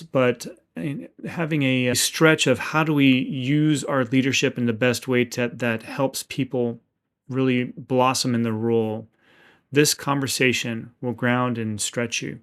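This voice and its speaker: A man hosting an interview podcast who asks earnest, long-winded questions and often restarts his sentences. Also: mid-range voice. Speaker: podcast host.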